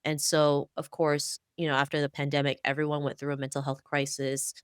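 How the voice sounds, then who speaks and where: A woman's voice: sits low, little pitch movement, fairly quick, smooth, no echo, studio quality. A woman guest in a podcast interview, speaking in an earnest, fluent, motivational way. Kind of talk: conversational interview.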